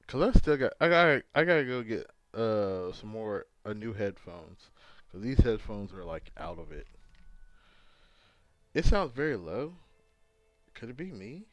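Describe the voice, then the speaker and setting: Slow, rambling hungover man streaming games. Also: mid-range voice, sing-song, no echo. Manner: rambling, halting, talks to chat while fiddling with setup. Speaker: gaming livestreamer. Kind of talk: casual livestream chat.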